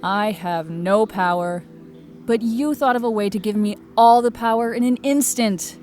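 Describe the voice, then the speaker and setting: Woman playing a proud hurt goddess, slow earnest talk, mid pitch, music under it, audio drama. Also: a little mellow, close to an everyday voice. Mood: earnest, grateful.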